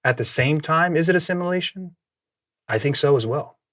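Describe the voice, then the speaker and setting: A man whose first language is Samoan, telling his story as a podcast guest in a reflective, measured way, often laughing. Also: a little high, expressive, fairly bright tone, dry recording, studio quality. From Minnesota, USA. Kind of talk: casual conversational storytelling.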